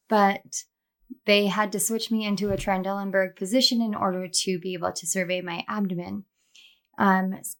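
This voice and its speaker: A woman with a slightly scratchy, soft voice from throat strain, chatting affectionately as a tarot reader, speaking close to her microphone. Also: mid-range voice. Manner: chatty and affectionate, many fillers. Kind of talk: casual spiritual vlog chat.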